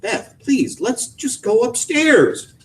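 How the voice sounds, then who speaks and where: A man's voice: a little high, sing-song, normal speed, distant mic, pitched above the performer's own voice. A man doing a weary, pleading father. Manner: tired, placating, gentle.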